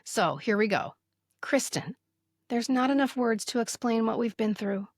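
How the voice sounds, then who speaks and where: A middle-aged American woman co-hosting a podcast, chatting casually and reading aloud with expressive emphasis. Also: fairly high pitch, moderate pace, no room sound, studio quality. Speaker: podcast co-host. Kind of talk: casual chat with animated reading aloud of old notes.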